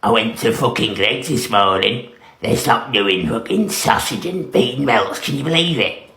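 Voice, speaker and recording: A man's voice: medium pitch, animated, measured pace, sharp tone, a little hiss or hum. A man complaining in a sweary, indignant way. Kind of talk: casual complaint video. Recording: roomy, echoey sound.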